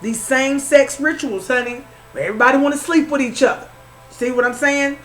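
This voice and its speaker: A woman's voice: high voice, a little fast, a bit bright, faint music behind, poor audio. A woman with a Southern accent doing an informal tarot reading, repeating herself and calling the listener honey. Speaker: spiritual reader.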